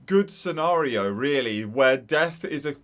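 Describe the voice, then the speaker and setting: A woman's voice: rumbling low, animated, measured pace. A woman hosting a game playthrough, chatting casually and reacting as she goes, sometimes reading text aloud. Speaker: gaming video host.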